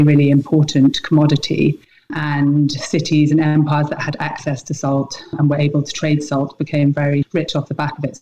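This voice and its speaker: A woman's voice: sits low, mellow. A woman who grew up in Britain, explaining things conversationally as an interview guest, on muffled, noisy-sounding audio. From Britain, mostly Scotland. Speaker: podcast guest, salt producer.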